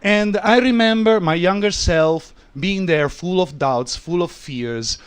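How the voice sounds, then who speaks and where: A man in his thirties with a foreign accent, performing stand-up and working the crowd loudly. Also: sits high, measured pace, smooth. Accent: non-native English. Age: 30s. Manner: loud, hyped crowd work. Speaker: stand-up comic. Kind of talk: stand-up set.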